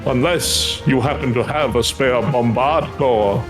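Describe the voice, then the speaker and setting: A man's voice: medium pitch, measured pace, close to an everyday voice. A man voicing a courtly, earnest fantasy craftsman, devout and grateful, speaking quest dialogue in formal, flowery phrasing. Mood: admiring, eager. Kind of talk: voiced reading of fantasy game quest dialogue. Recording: music behind the voice.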